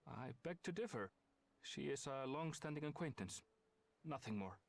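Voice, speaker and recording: A man's voice: medium pitch, expressive, normal speed, gravelly. A man voicing a proud, aloof companion in a video game, with a formal, dry, disdainful manner. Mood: disdainful, curt. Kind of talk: video game cutscene acting.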